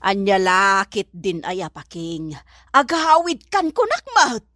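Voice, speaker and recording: A woman's voice: mid-range voice, sing-song, slow, fairly bright tone, no room sound, clean studio sound. A woman acting an exasperated, nagging household woman in an Ilocano radio comedy drama, speaking emphatically with an Ilocano accent. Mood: annoyed, dismissive.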